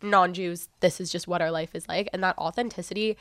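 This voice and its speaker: A woman's voice: on the low side, fairly even intonation, a little fast, a bit bright, dry recording. A young woman interviewer, a university student, talking in a chatty way with lots of likes. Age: young adult. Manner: chatty, heavy use of like. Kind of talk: casual interview.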